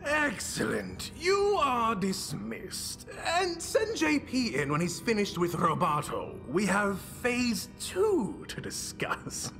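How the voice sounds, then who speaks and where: An actor playing an imperious, gleeful villain boss in a video game, with theatrical, drawn-out emphatic delivery over music. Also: mid-range voice, big pitch swings, gravelly, fairly bright tone, exaggerated voice. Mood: menacing, commanding. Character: scheming villainous boss plotting super soldiers, imperious, gleeful.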